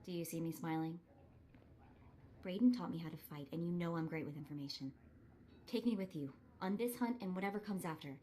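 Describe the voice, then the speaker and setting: An actress voicing an eager, determined young streetwise sidekick in a cinematic video game, earnest and spirited. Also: female voice, lowish voice, brisk, mellow, a little hiss or hum, lightly acted. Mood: cocky, urgent.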